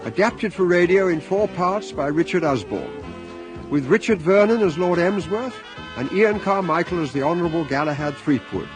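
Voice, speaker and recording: A man's voice: sits high, animated, moderate pace, over music. A man, announcing and narrating a scripted radio comedy serial.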